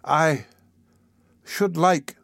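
A man's voice: fairly high pitch, steady tone, moderate pace, dry recording, studio quality, voice pushed up, close to an everyday voice. A man voicing a meek, frail orphan boy in a storybook reading, speaking in a faint, faltering, earnest way. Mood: earnest, plaintive. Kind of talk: audiobook character acting.

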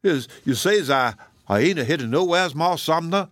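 A man voicing an excitable old ship's cook with a heavy dialect, exclaiming and wailing in a storybook reading. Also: fairly high pitch, normal speed, no room sound, pitched above the performer's own voice. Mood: doubtful, wary.

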